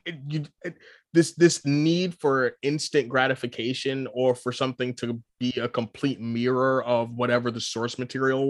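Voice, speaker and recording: Guy on a podcast giving his opinion, kinda argumentative, normal pitch, no room echo. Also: studio quality. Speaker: podcast co-host. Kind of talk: casual opinionated podcast discussion.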